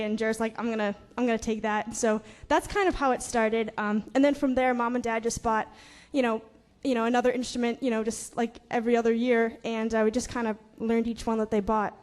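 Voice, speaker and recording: A woman's voice: fairly high pitch, a little mellow. A young woman in a family band chatting casually as an interview guest, giggly and peppering her talk with "you know". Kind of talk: casual onstage interview chat.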